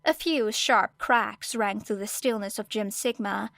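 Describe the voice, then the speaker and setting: A woman's voice: medium pitch, a little slow, vocal fry, fairly bright tone, dry recording. A woman reading scripted fiction as an audiobook narrator.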